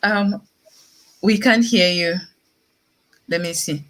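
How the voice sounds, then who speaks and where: A woman's voice: mid-range voice, slow pace, fairly bright tone, poor audio. A woman hosting an online talk show, welcoming guests on a video call. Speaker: host.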